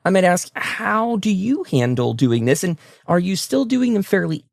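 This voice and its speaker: Male talk show host, slightly high-set voice with noticeable creak, courteous and meandering questions. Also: sing-song, normal speed, dry recording, studio quality. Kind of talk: conversational interview.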